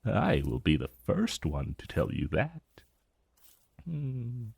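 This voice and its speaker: A man's voice: fairly low pitch, wildly swooping pitch, gravelly, a little mellow, husky, no room sound, full character voice. A man voicing an ancient dragon familiar in a romantic fantasy ASMR roleplay, possessive and silkily tender with a vague menace, murmuring formally and seductively. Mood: tender, confiding. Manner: slow, formal, seductive murmuring with lingering pauses.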